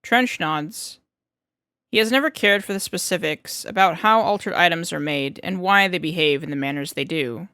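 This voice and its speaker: A woman's voice: lowish voice, moderate pace, sharp tone, no room sound, clean studio sound. A woman reading scripted fiction as an audiobook narrator.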